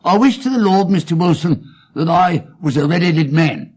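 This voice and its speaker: A man voicing an eager, persuasive pawnshop assistant in an audiobook, with an excited manner. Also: a little high, normal speed, slightly rough, voice pushed up. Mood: eager, wistful.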